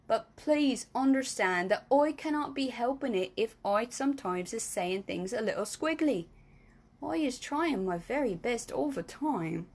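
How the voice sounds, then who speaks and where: A woman voicing a kindly, very old male giant in a storybook reading, booming and drawn out, mangling his words and grammar. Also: lively intonation, a little slow. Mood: apologetic.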